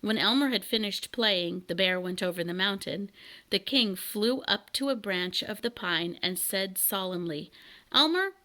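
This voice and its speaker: A woman reading a children's story aloud as an expressive narrator. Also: medium pitch, normal speed. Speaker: children's book reader.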